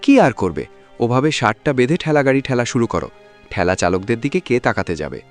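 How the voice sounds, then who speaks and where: A man's voice: mid-range voice, big pitch swings, brisk, soft background music, lightly acted. A man playing a brusque, dismissive foreman of cart labourers in a cartoon. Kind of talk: cartoon dialogue.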